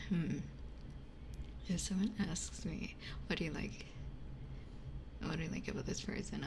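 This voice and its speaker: A woman's voice: low-pitched, vocal fry, noisy background. A woman streamer chatting casually in an ASMR style, blunt and giggly, close to a binaural microphone. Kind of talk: whispered ASMR casual chat.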